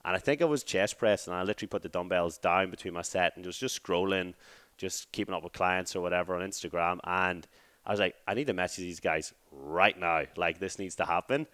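An Irish man in his thirties, a fitness coach telling his story as a podcast guest in a relaxed, rambling way. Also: low voice, brisk, no room sound. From Ireland. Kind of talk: casual interview chat.

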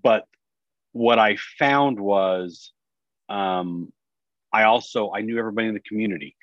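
A man with a lowish voice talks casually as an interview guest. He is candid and reflective about himself. The recording is close and dry, a little dull on top. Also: moderate pace, no room sound. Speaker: podcast guest, business executive. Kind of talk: casual personal storytelling in an interview. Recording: dry, slightly dull sound.

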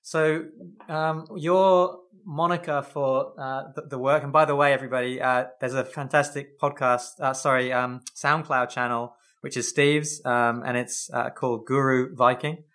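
A man hosting a conversational podcast interview, asking reflective questions in halting, thoughtful phrases. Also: medium pitch, steady tone, fairly bright tone.

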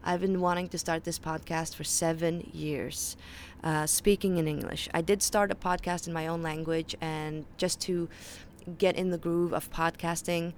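A woman's voice: lowish voice, flat delivery, normal speed, slight background noise. A young woman with a Faroese accent, a native Faroese speaker, hosting a podcast in a casual, earnest monologue full of small restarts. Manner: earnest and conversational, with frequent restarts. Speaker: podcast host. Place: outdoor home balcony. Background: birds and distant ships.

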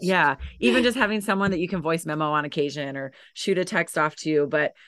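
A woman's voice: low-pitched, fairly quick, fairly bright tone, dry recording. A woman with a scratchy, tired voice from teaching, chatting as a podcast guest with lots of fillers and restarts. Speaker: podcast guest, dance educator and coach. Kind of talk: casual interview chat.

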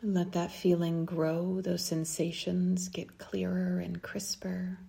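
Slow, low, creaky-voiced woman guiding meditation. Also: monotone, mellow. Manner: soothing, unhurried, with long pauses. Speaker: meditation guide. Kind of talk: guided meditation.

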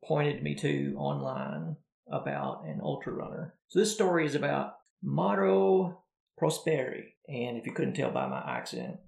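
A man co-hosting a podcast, talking through a story in a relaxed, conversational way. His voice is heavily gravelly, with a lot of vocal fry, and his pitch moves about a lot. The sound carries a noticeable room echo. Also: measured pace. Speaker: podcast co-host. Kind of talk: casual podcast chat.

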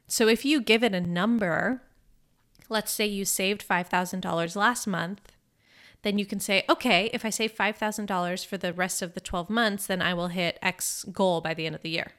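A young woman coaching listeners on her podcast in a friendly, conversational way. Her voice is a little stuffy and groggy from a cold, but she keeps an upbeat tone at an ordinary mid pitch. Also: fairly bright tone. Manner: upbeat, conversational, often asks "right?". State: congested, slightly groggy voice. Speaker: podcast host and financial coach. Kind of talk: casual coaching monologue.

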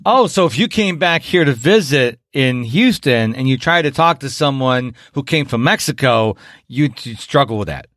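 A man's voice: mid-range voice, expressive, normal speed, fairly bright tone, dry recording, studio quality. A man hosting a podcast interview, chatting casually, laughing often and teasing his guest. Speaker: podcast host.

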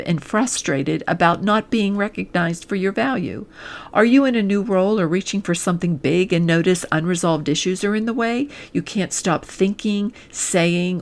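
Woman coach giving an earnest, deliberate pitch. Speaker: executive coach and podcast host. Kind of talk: scripted promotional pitch addressed to the listener.

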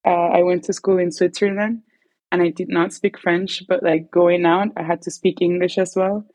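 A woman's voice: on the low side, flat delivery, moderate pace, a little mellow, dry recording. A young Venezuelan woman, a native Spanish speaker, chatting casually as an interview guest, rambling with lots of "like" and restarts. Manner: rambling, many filler likes and restarts. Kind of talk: casual conversation.

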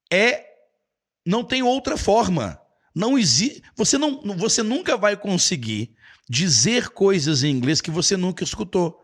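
A man's voice: high voice, breathy edge. A middle-aged Brazilian man with a Brazilian Portuguese accent, chatting casually as an English teacher who answers viewers' comments. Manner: chatty, with many restarts and quick asides.

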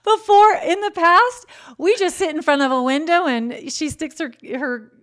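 A woman's voice: very high voice, unhurried. A woman in her fifties, a mother of many, talking earnestly as an interview guest. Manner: warm, earnest, faith-filled. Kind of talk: casual interview. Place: home studio. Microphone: large studio microphone.

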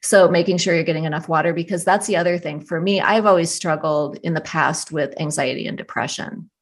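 Woman with a deep voice, unhurried coaching tone, reassuring and thoughtful. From US desert region. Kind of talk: casual advice conversation.

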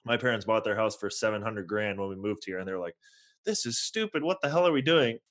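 A man's voice: lowish voice, animated, a little fast, fairly bright tone. A man in his twenties with a North American accent, telling his story casually and informally as a podcast guest. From born in Toronto, grew up in California's Bay Area. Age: 20s. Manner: informal, slangy, peppered with "like" and "you know". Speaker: podcast guest, real estate investor.

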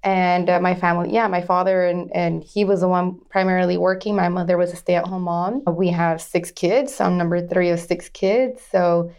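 A woman's voice: on the low side, fairly even intonation, normal speed, hardly any pauses, darkish tone, smooth. Chatty woman telling her story. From Arizona, USA. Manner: chatty, frequent laughs and restarts. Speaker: guest. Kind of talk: conversational interview storytelling.

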